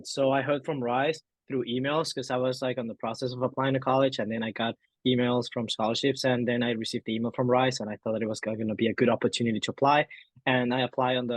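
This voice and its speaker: A man's voice: mid-range voice, no echo, poor audio. Teen guy from peru on a video call, earnest and grateful, very dry sound. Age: teens. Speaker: program winner, guest. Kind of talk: self-introduction on a call.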